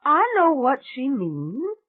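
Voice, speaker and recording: A woman voicing a quiet, wry woman who mutters low. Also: huge pitch swings, slow pace, full character voice. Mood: muttering. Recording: tinny phone-like sound.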